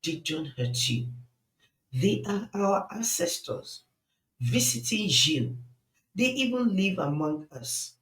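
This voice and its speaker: A stern father from a dramatic folktale, as a narrator plays him, insistent and full of warning. The delivery is slow, with pitch that moves up and down widely. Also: big pitch swings, fairly bright tone, echoey room, exaggerated voice, rough recording. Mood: alarmed, insistent. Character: stern, alarmed African father revering snakes.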